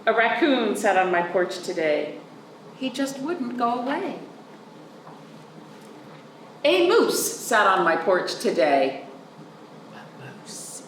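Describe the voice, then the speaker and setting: A woman reads a storybook for young children, swinging widely in pitch and stretching words in a sing-song way. Her pace is unhurried. She is recorded a little way from the mic in an echoey room. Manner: pauses to explain words simply to children. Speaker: children's librarian, storytime reader. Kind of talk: expressive read-aloud storytime for young children. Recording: roomy echo, distant mic, faint noise.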